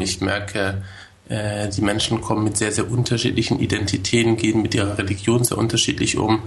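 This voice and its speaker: A man's voice: lowish voice, creaky. A man joining a talk show as a guest over a video call, speaking in a hesitant, thoughtful way with many fillers. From Germany. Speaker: guest, tour guide. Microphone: video call from home.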